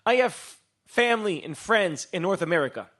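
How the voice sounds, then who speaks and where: A man from Israel giving a live lecture as a storyteller, posing rhetorical questions and pausing for dramatic emphasis. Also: sits high, expressive, a bit bright. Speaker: public speaker, lecturer.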